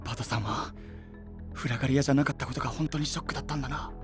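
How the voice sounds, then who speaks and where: An actor playing a kind-hearted, earnest boyish young knight with a Kyushu dialect in an anime-style audio drama. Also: male voice, medium pitch, fairly even intonation, racing, soft background music, natural voice. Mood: sympathetic. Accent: Kyushu Japanese dialect.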